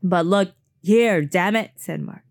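A woman voicing an exasperated young man who protests loudly in a read-aloud novel. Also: on the low side, takes it slowly, creaky, no room sound, clean studio sound, faint music behind. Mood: indignant. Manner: loud, indignant.

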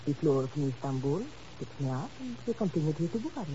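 Earnest underground helper, very mellow. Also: male voice, on the high side, sing-song, quick pace. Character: earnest underground helper in Cold War intrigue. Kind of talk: 1950s radio drama acting. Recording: muffled, hissy old radio recording.

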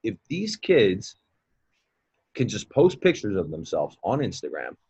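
Man, late twenties, very low voice, husky, casual rambling talk, repeats himself. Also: huge pitch swings, a little slow, darkish tone, muffled recording. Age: 20s. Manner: rambling, repeats words, many fillers. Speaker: guest, mindset coach. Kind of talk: casual podcast conversation.

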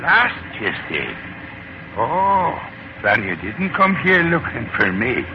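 Lilting leprechaun, noisy old radio. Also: male voice, medium pitch, wildly swooping pitch. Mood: quizzical. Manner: unhurried, quizzical, lilting and poetic phrasing. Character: mysterious whimsical leprechaun shoemaker, wary little Irishman. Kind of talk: 1940s radio acting. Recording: noisy, muffled 1940s radio recording.

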